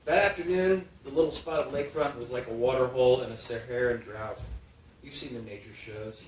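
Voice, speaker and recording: A man's voice: medium pitch, fairly quick. A man reading literary prose aloud at a live event. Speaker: reader. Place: indoor book launch event. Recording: echoey hall, distant, faint noise.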